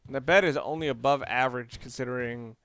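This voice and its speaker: A man's voice: mid-range voice, fast talker, fairly bright tone, dry recording. A man giving casual video game commentary, rambling along and thinking aloud as he plays. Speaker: let's play host.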